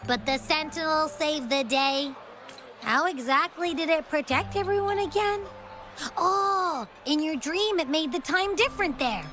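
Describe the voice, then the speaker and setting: A woman's voice: high voice, measured pace, fairly bright tone. A young woman voicing a cheerful, food-loving companion in a video game cutscene, bubbly and a little boastful. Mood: curious. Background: faint game music.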